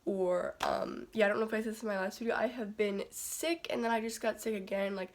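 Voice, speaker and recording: Congested, raspy teenage girl vlogging. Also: mid-range voice, brisk. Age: teens. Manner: chatty, rambling, heavy on "like" and "um". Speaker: beauty vlogger. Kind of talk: casual chat to camera. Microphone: phone camera.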